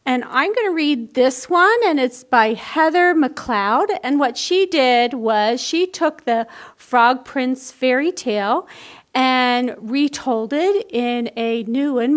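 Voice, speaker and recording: A woman reading a picture book to young children as a storytime host, expressively and with simple explanatory asides. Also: sits high. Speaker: library storytime reader.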